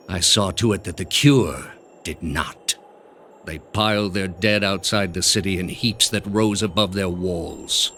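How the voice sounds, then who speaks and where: An actor playing a cold, pompous male grandmaster of an ancient zealous order in a video game, speaking deliberately and with condescension. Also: low-pitched, fairly bright tone, a little hiss or hum. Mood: grave, unrepentant. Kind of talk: dramatic video game dialogue.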